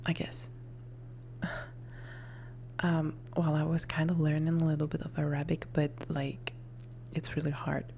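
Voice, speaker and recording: Woman, native Italian speaker, soft close-mic whisper, gravelly texture, low pitch. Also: a little hiss or hum. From Italy. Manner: soft whispering, rambling and self-correcting. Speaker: ASMR streamer. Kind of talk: whispered live chat.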